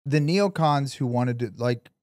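A man's voice: medium pitch, unhurried, darkish tone, dry recording, clean studio sound. A man co-hosting a podcast, chatting casually and emphatically about politics with some swearing. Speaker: podcast co-host. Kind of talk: casual opinionated political chat.

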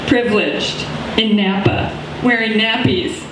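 Middle-aged woman comic, rambling deadpan delivery, heard through a roomy echo from across the café. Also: mid-range voice, slow pace, background noise. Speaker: open mic comedian. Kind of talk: stand-up comedy set. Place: small coffee shop stage, indoors. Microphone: handheld mic, far camera. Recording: echoey room from far off.

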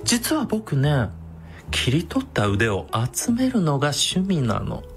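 A sadistic, effeminate male psychopath for radio drama. His camp delivery swoops widely and lingers slowly over each phrase, with music clearly playing behind him. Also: on the high side, wildly swooping pitch, full character voice. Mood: gloating, sadistic. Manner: camp, feminine speech, savouring each phrase.

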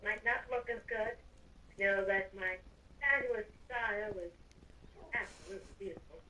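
A woman's voice: medium pitch, normal speed, bright, forceful tone, slight background noise, close to an everyday voice. A woman playing a breezy, teasing villain housemate in an improvised Minecraft roleplay. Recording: distant home microphone.

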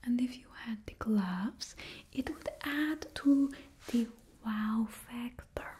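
A young woman in a put-on Russian accent, whispering slowly and closely as a stylist in an ASMR roleplay. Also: fairly high pitch, warm tone, breathy edge. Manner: close, slow whispering with drawn-out words. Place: indoors before a studio backdrop.

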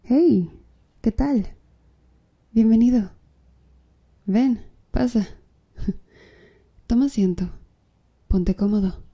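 A slow, flirty tattoo artist with a dark, mellow tone, as a woman plays her for an ASMR roleplay. She speaks softly and breathily, teasing her client with easy confidence. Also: fairly high pitch, full character voice. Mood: welcoming. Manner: soft, breathy, teasing with little laughs.

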